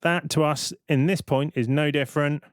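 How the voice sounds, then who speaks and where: A man giving an informal, step-by-step software demonstration as a tutorial presenter. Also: a little high, steady tone, moderate pace, clear, resonant tone, faint music behind.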